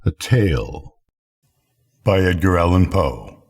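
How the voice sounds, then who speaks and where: An older man reading a literary story aloud as a bedtime storyteller, in measured, deliberate phrases with pauses. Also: low voice, very animated, breathy edge. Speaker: storyteller, reader.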